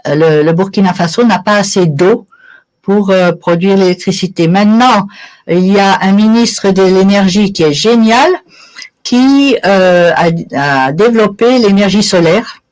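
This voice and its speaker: A woman's voice: mid-range voice, measured pace, a little mellow, rough recording. A woman of Italian origin giving conversational interview answers as a guest, explaining things with everyday examples. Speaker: interview guest, charity sponsorship volunteer.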